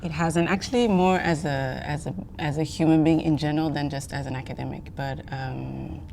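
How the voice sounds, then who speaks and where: A woman in her forties from Belgium talks reflectively in an interview. Her voice is low and often creaky, with a faint rumble behind it. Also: fairly even intonation, brisk, a little mellow. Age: 40s. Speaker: interview guest, academic. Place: seated in empty theatre auditorium.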